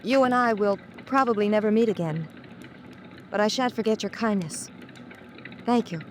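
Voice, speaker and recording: An actress playing a troubled, guarded and politely grateful woman in old-time radio drama style, speaking haltingly. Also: medium pitch, lightly acted. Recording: old radio recording, faint noise.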